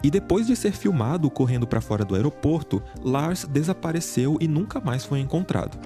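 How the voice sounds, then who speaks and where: A man giving a scripted, measured true-crime narration. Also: fairly high pitch, lively intonation. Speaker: narrator of the reacted video. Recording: music bed under narration. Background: background music.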